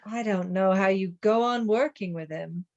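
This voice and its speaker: A woman's voice: medium pitch, moderate pace, darkish tone, highs cut off, natural voice. A British woman acting a devoted but disapproving genteel Victorian lady in a radio play, expressively. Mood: indignant.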